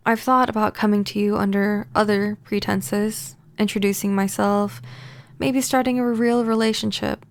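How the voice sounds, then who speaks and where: A woman playing a pining, possessive vampire neighbor in a soft-spoken, intimate ASMR roleplay, gentle and halting. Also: medium pitch, flat delivery, natural voice. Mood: pained, yearning.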